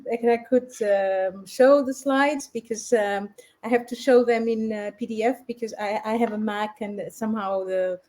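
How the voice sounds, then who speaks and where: A woman's voice: on the high side, steady tone, moderate pace, a little mellow. A woman from Portugal giving a research presentation in a webinar over a video call, speaking hesitantly with many fillers and restarts.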